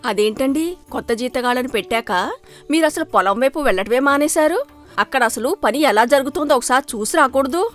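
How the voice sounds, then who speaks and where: A sensible village wife and mother played by a woman in an animated folktale. Her voice is very high, with a persuasive, reasoning delivery. Soft music runs underneath. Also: brisk. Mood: prodding. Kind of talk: animated moral story acting.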